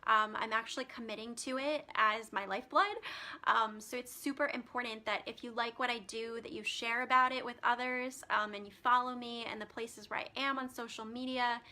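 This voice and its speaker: A woman's voice: on the high side, steady tone, brisk, sharp tone. A woman hosting a live stream as a spiritual healer, chatting casually with many ums and reading out card meanings, her voice turning scratchy. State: voice getting scratchy.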